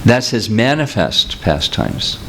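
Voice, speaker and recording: A man's voice: on the low side, very animated, takes it slowly, a bit bright, a little hiss or hum. A man giving a seminar talk as a spiritual teacher, explaining patiently with examples and answering questions. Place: seminar room with audience.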